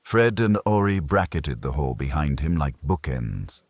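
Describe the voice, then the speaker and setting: A man narrating a scripted first-person detective story in a wry, deliberate manner. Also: extremely low pitch, sing-song, darkish tone, dry recording.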